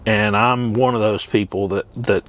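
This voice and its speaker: A middle-aged man with a fairly low voice talks casually to his viewers as a truck driver would. He is plain-spoken and rambles along, pausing and trailing off as he thinks. It sounds like an unscripted vlog from the cab. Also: fairly even intonation, fairly bright tone.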